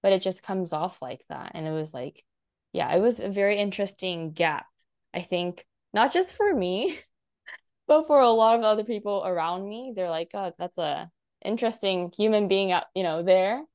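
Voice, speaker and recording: Young woman guest, casual rambling talker, some vocal fry. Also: medium pitch, normal speed, darkish tone. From United States. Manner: rambling, many "like" fillers, frequent laughs. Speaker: guest content creator. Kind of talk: casual chat.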